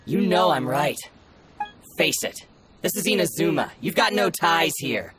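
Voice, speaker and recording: An actor playing a cocky, bullying bandit ronin in a video game, mocking and taunting. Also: male voice, on the high side, sing-song, measured pace, noisy background. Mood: mocking, contemptuous.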